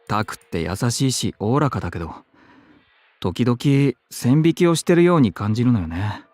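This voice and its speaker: A man voices an effeminate, fussy young man in a Japanese visual novel. He chatters quickly in expressive, feminine phrasing and gets excitable. The recording is dry and close. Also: medium pitch, dry recording, close to an everyday voice. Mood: concerned, chatty. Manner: feminine speech, expressive, nagging.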